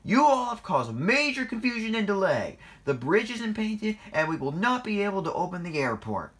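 A man voicing a stern, angry railway controller who scolds his engines in a cross, loud manner, in a children's story. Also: medium pitch, very animated, moderate pace, lightly acted.

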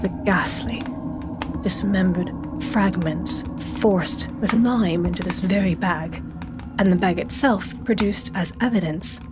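A woman's voice: fairly low pitch, takes it slowly. A woman narrating a spooky audiobook in a dramatic, scripted storytelling style. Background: atmospheric sound design and music.

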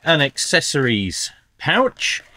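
A man's voice: on the high side, huge pitch swings, takes it slowly, sharp tone. A middle-aged man presenting a gear review as a video host, in a small indoor workshop. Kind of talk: scripted product review with hands-on commentary.

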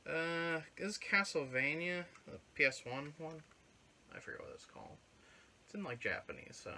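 A man's voice: a little high, animated, normal speed, background noise. A young man hosting a casual show-and-tell of his flea market finds, talking offhand and swearing now and then. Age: young adult. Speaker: channel host showing his finds. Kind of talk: casual chat. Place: living room with record shelves and couch. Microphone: fixed camera at couch distance.

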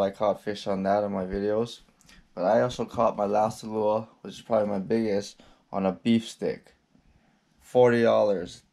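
A young man casually walking through his fishing gear at a table indoors, laid-back and slow, with frequent ums and restarts, picked up by an action camera. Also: fairly low pitch, creaky, warm tone. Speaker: fishing vlogger.

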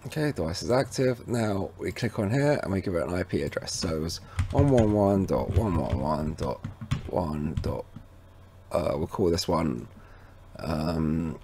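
A man presenting an informal, instructional software walkthrough, talking casually to viewers as he goes. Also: low voice, expressive, a little slow, vocal fry, breathy edge. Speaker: tech tutorial presenter. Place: indoors at a computer, voice over screen recording.

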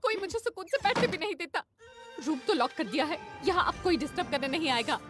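A woman's voice: very high-pitched, quick pace, music in the background. A woman playing an emotional, dramatic young elephant girl in a cartoon dub. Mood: annoyed. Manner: expressive, dramatic. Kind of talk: Hindi cartoon dubbing.

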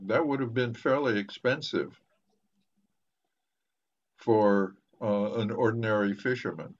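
An older man speaking as a professor leading a literary discussion, halting and deliberate, with long pauses, over a video call. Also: on the low side. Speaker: professor moderating a book discussion. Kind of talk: literary discussion, lecturing informally. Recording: muffled, dull video call audio.

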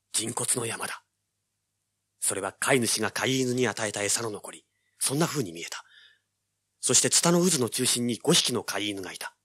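A man's voice: medium pitch, a bit bright, husky, no echo. An actor playing a cocky, hard-boiled young treasure hunter, telling his story as dramatic audio drama narration. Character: brash, cool-headed young male treasure hunter, action hero. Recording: dramatic music under the voice. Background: dramatic background music.

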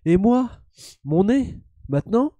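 Congested young man, loud joking streamer. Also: high voice, big pitch swings, slow, dry recording. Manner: loud, joking, slangy banter. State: stuffy from a head cold. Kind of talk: casual gaming stream chat.

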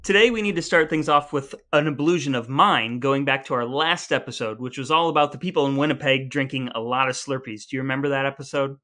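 A man's voice: mid-range voice, fast, few pauses, pushed and bright. A man co-hosting a podcast in a conversational, lightly scripted style, with a wry, mock-formal and wordy way of talking. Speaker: podcast co-host.

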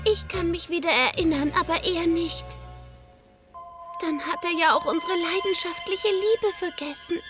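A woman's voice: extremely high pitch, moderate pace, over music. A woman voicing a lovesick, boastful teenage schoolgirl heroine in an anime audio drama, whiny and dramatic. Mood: lovesick, hurt. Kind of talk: German-dubbed anime radio play.

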